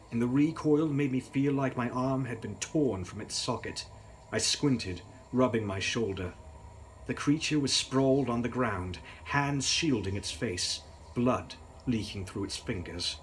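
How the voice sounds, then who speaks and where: A young man narrates gothic fiction with grave theatricality. His delivery is dramatic and mid-pitched, with faint noise behind it. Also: expressive, unhurried, low-quality recording. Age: young adult. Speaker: author reading his novel. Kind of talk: dramatic gothic audiobook-style reading.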